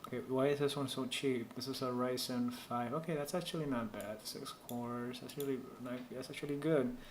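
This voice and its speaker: A man from Puerto Rico, a native Spanish speaker, hosts a casual tech stream in a roomy, echoey space. His medium-pitched voice rambles as he thinks aloud, with faint noise underneath. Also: steady tone, normal speed. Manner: rambling, thinking aloud while reading chat. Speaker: live stream host giving PC troubleshooting advice. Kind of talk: casual live stream chat.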